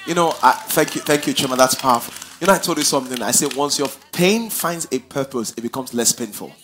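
Nigerian pastor prompting a guest. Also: male voice, medium pitch, animated, fast talker, fairly bright tone, faint music behind. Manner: repeats and echoes guests' answers, prompting them. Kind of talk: sermon with audience Q&A. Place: large church auditorium stage.

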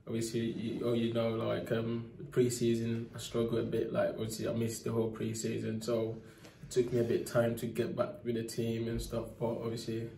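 Soft-spoken young man in an echoey room. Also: medium pitch, monotone, normal speed, creaky, a little hiss or hum. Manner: soft-spoken, many fillers, leaning on "obviously". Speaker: footballer. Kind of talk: post-match interview. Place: indoor press room in front of a sponsor board. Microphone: phone held near by the reporter.

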